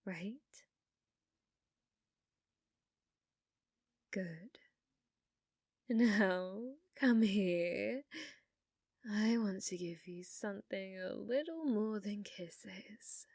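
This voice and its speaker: A British woman playing an obsessive, manipulative, adoring girlfriend in an intimate ASMR roleplay, soft and breathy, often dropping into whispers. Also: medium pitch, dry recording, studio quality. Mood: tender, manipulative. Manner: slow, coaxing, intimate.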